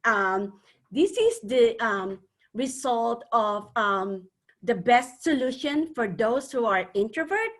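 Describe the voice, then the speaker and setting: A woman from the Philippines with a Filipino accent, speaking earnestly as a webinar panel speaker and often pausing on fillers. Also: on the high side, lively intonation. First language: a Philippine language. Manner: earnest, hesitant with many fillers. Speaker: panel speaker, networking organizer.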